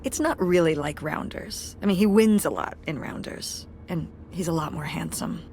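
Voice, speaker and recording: A wry, tired middle-aged mother talks things through with dry, self-deprecating humor. Her voice sits a little low. A faint hiss runs under the game dialogue. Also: normal speed, vocal fry, darkish tone. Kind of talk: naturalistic game voice acting.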